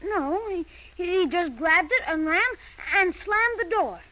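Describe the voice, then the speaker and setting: A woman's voice: very high voice, lively intonation, slow, sharp tone, exaggerated voice. A woman acting the part of a sulky, stubborn young schoolboy in an old-time radio drama. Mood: evasive. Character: defiant, fibbing young schoolboy. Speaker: radio actor. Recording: dull, lo-fi vintage radio recording.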